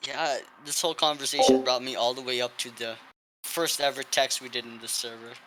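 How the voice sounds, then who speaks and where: A young man chatting playfully with a friend, joking and laughing loudly. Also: mid-range voice, steady tone, fairly quick, very noisy. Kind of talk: casual chat.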